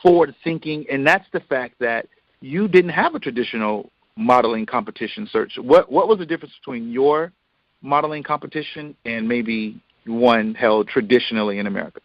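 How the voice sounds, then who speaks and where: A man's voice: medium pitch, lively intonation, a little fast, no echo. A man interviewing conversationally over the phone, emphatic and repeating phrases as he builds his point. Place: phone call. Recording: dull lo-fi phone line.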